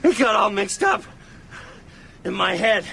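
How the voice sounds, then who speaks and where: Radio drama role: overwrought, haunted man, bright strained voice with creak. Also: sits high, very animated, measured pace, a little hiss or hum, heavily acted. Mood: shaken.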